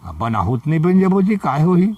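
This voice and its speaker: A man with a Chhattisgarhi accent telling a folk story in short colloquial phrases, pausing for a listener to respond. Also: fairly high pitch, big pitch swings, slightly rough. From Chhattisgarh, India. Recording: muffled, distant microphone.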